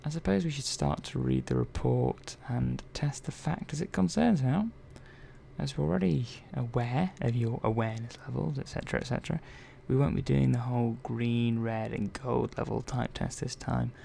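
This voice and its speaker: A man playing a curious, gently chatty robot repair technician in a soft-spoken ASMR roleplay, murmuring and thinking aloud in an unhurried, hesitant way. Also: medium pitch, vocal fry. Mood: gently absorbed. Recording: faint background noise.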